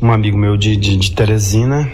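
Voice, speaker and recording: A man's voice: lowish voice, brisk, noisy background. A man with a Minas Gerais Brazilian accent, a singer-songwriter telling anecdotes casually in an interview, rambling and repeating words as he goes. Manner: rambling, colloquial storytelling, often repeating words.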